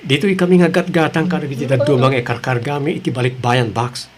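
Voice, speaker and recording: A man's voice: mid-range voice, fairly even intonation, a little fast, slight background noise, close to an everyday voice. A man playing a genial, joking co-worker in an audio drama.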